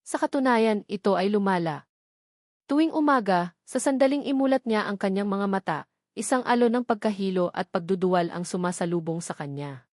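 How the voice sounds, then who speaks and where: A woman's voice: mid-range voice, fairly quick, darkish tone, dry recording, studio quality. A woman reading a scripted, dramatic audiobook story as its narrator.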